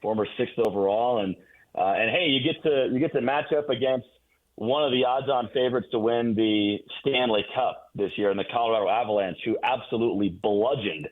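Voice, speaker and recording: A man's voice: medium pitch, brisk. A man giving sports analysis as a guest announcer on a radio show, heard over a phone-like line.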